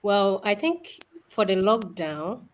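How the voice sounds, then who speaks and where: A young woman from Ghana, a guest on an interview show, cheerful and soft-spoken, laughing often as she talks. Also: medium pitch, expressive, slow, slight background noise. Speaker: guest, event organizer. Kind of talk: casual interview.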